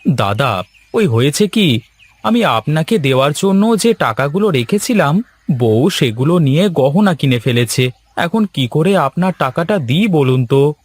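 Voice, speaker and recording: A man's voice: on the high side, big pitch swings, moderate pace. Man as a plaintive, henpecked cartoon husband. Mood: pleading. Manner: plaintive, complaining. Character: hapless, henpecked village fishmonger husband, forever grumbling. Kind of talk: cartoon voice acting.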